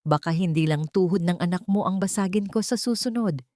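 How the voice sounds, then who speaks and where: A woman's voice: lowish voice, a little fast, darkish tone, dry recording, clean studio sound, natural voice. A woman voicing a cold, threatening male loan-shark boss within a narrated story. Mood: cold threat.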